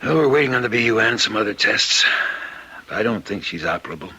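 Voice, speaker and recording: An actor playing a brusque, world-weary hospital chief of staff in a radio drama, clipped and wry. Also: male voice, lowish voice, expressive, quick pace, sharp tone, slight background noise, poor audio. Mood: grave, clinical.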